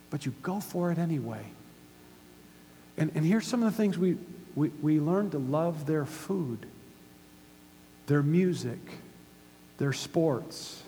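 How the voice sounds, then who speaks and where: A man's voice: a little high, warm tone. A man in his fifties from the United States preaching a sermon, emphatic and putting questions to the audience. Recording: hall reverb with faint hum.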